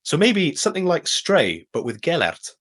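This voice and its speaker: A man's voice: a little high, huge pitch swings, normal speed, fairly bright tone, husky. A man, a voice actor chatting casually as an interview guest. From Wales.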